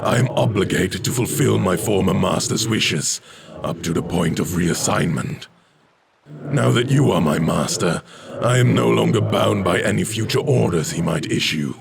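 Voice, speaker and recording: Proud demonic servant-warrior, fantasy game. Very gravelly, low, solemn, archaic phrasing. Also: male voice, animated, normal speed, heavily acted. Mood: proud, devoted. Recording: noisy game audio, ambient sound behind.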